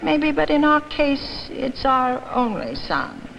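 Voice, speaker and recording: A woman's voice: sits high, big pitch swings, slow, gravelly, dull audio, voice pushed up, exaggerated voice. A woman playing a tiny, shy, anxious husband with a hesitant, sighing delivery in a staged story reading. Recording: noisy old archival reading recording.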